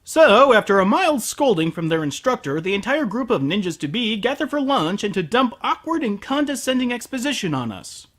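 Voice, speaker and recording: A man's voice: sits high, big pitch swings, fairly quick, few pauses, fairly bright tone. A man hosting a comedic anime review, sarcastic and profane, ranting at the show.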